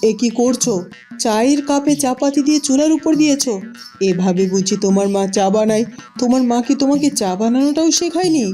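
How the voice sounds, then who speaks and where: A woman's voice: sits high, animated, fairly quick, warm tone. A woman voicing a bossy, fussy middle-aged village mother-in-law in a cartoon, with music behind her. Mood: exasperated. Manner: scolding, exclamatory, fussing. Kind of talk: Bengali cartoon dubbing.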